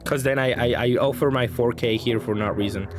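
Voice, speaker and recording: A man's voice: mid-range voice, steady tone, brisk, music in the background. A man giving casual card game commentary, thinking aloud and hedging his choices as he plays.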